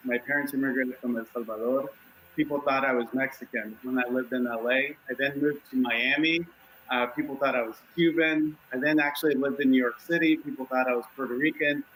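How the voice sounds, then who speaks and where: A man in his thirties from Los Angeles, a community leader speaking in a measured, reflective way on a panel. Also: mid-range voice, fairly even intonation, fairly bright tone, slight background noise, rough recording. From Los Angeles, United States. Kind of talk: panel discussion.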